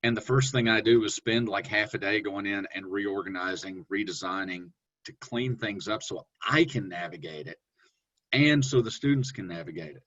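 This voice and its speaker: A man presenting a webinar on online teaching, talking in a relaxed, conversational way with fillers and self-corrections. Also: moderate pace. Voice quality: deep voice. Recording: dull lo-fi webinar audio.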